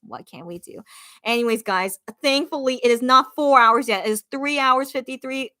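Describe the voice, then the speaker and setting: A woman's voice: sits high, moderate pace, pushed and bright, no room sound, clean studio sound. A young woman hosting a casual livestream radio show, reading viewer comments aloud and reassuring them in a chatty way, often repeating her phrases.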